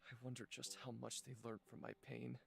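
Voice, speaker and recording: An actor voicing a gentle, weary immortal healer haunted by old suffering, speaking slowly and softly in a dramatic visual novel style. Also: male voice, medium pitch, completely flat delivery, gravelly. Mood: somber, reflective. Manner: slow, halting, soft-spoken confiding. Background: background music.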